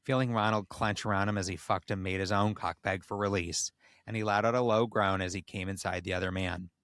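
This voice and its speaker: A man's voice: sits low, fairly even intonation, fairly quick, fairly bright tone, no echo. A man co-hosting a comedy podcast, reading a story aloud in dramatic narration. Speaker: podcast co-host. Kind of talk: comedic dramatic reading of erotic fan fiction.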